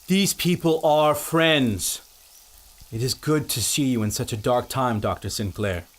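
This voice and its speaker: A dignified king of a threatened island , played by a man, steady and mid-pitched. His speech is formal and measured, never hurried. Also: lightly acted. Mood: grave. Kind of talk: audio drama acting.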